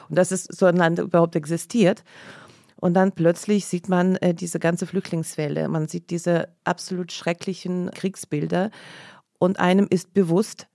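A woman's voice: on the low side, gravelly, dark tone, dry recording. A woman in her forties, born in Leningrad with Russian as her first language, telling her story earnestly as a podcast guest in a studio. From Leningrad, Soviet Union. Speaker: interview guest, journalist. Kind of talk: podcast interview.

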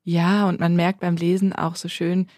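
A woman co-hosting a book podcast, talking in a lively, friendly way about what she read. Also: on the low side, moderate pace, dry recording, clean studio sound. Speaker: podcast co-host, book critic. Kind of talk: casual book discussion.

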